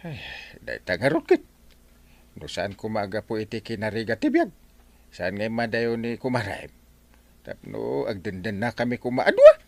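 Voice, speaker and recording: An actor with an Ilocano accent playing a stressed, broke village captain in a radio comedy drama, complaining with exaggerated exclamations. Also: male voice, lowish voice, huge pitch swings, moderate pace, creaky, heavily acted.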